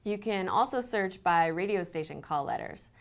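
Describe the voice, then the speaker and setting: Female company presenter, lower register, scripted demonstration read. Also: expressive, moderate pace. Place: indoor living-room set, seated on a sofa.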